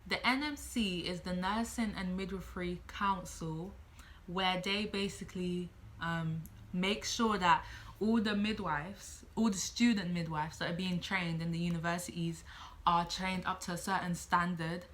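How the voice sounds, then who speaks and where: A woman in her late teens speaks in a bright, crisp-edged voice pitched a little low. She sounds like a student vlogger giving casual advice, chatting freely, thinking aloud and circling back over her points. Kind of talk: casual advice vlog, talking to camera.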